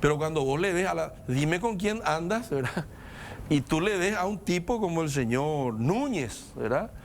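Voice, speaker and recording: A man's voice: a little high, expressive, slight background noise. Non-native man lecturing on politics. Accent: non-native Spanish. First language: not Spanish. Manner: measured, lecturing, emphatic lists. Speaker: guest commentator. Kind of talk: political discussion.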